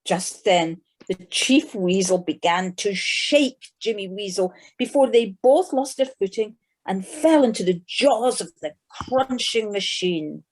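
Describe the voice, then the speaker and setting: A woman's voice: medium pitch, expressive, slow pace, few pauses. A woman narrating a children's animal tale in an audio play, as a scripted storyteller.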